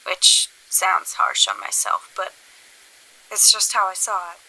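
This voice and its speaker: A woman playing a weary, pragmatic confidante in an audio drama, speaking frankly and with measured pauses. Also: mid-range voice, big pitch swings, vocal fry, bright, forceful tone, exaggerated voice, low-quality recording. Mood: resigned, frank. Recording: faint hiss.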